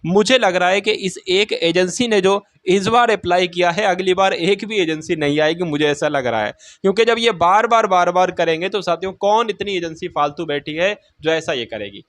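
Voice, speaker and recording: A man's voice: high-pitched, normal speed, few pauses, a bit bright, no room sound. A man presenting exam news, walking viewers through an official notice on screen and reading it aloud while chatting to them as friends. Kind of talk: casual explanatory screen walkthrough.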